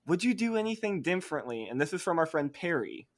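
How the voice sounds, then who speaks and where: A man hosting a podcast, putting questions to his guests in a friendly, plain-spoken way. His voice sits a bit high. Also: big pitch swings, fast talker. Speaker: podcast host. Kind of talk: interview questions.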